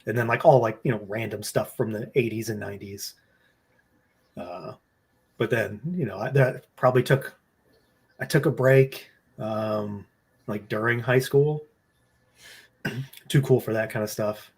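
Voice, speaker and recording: A man in his late thirties chatting casually as a guest, relaxed and rambling with lots of fillers. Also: lowish voice, unhurried, long pauses, slightly rough. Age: 30s. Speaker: guest toy collector. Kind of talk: casual chat.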